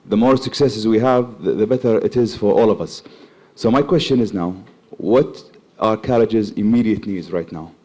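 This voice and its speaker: Hoarse Kuwaiti man with flu. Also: fairly low pitch, normal speed, hardly any pauses, warm tone. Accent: Kuwaiti English. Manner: earnest, praising. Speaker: audience member asking question.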